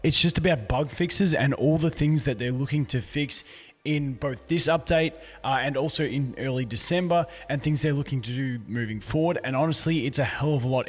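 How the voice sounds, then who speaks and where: Hoarse man chatting about a game update. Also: a little high, in a large hall. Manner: chatty and easygoing, restarts words often. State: hoarse, losing his voice. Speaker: gaming YouTuber. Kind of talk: casual game news commentary, reading patch notes.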